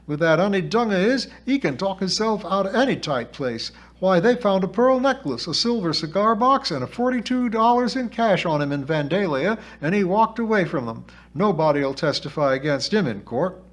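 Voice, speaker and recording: A man's voice: high-pitched, sing-song, moderate pace, few pauses, pitched above the performer's own voice. A man voicing a scrawny, brash twelve-year-old fake spirit girl with a whiny, hollow voice that drops its aitches, in a storybook reading. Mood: boastful, defiant. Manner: brash, spitting retorts, dropped aitches.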